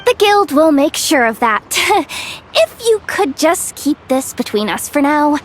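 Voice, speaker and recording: A flustered young guild worker in a video game. Her voice is extremely high and swings around expressively, anxious but kindhearted. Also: very animated, measured pace, slight background noise. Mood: uneasy. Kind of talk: video game character acting.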